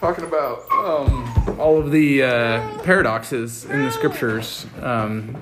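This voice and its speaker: A man in casual, reflective discussion, speaking thoughtfully and hesitantly with frequent pauses, recorded at home. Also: mid-range voice, over music. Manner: hesitant, thoughtful, pausing often. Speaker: family podcast co-host giving commentary.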